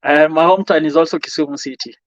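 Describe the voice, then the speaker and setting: A Kenyan man from Nairobi answering interview questions in a calm, humble, soft-spoken way. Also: fairly high pitch, fast talker, no room sound, muffled recording. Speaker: guest.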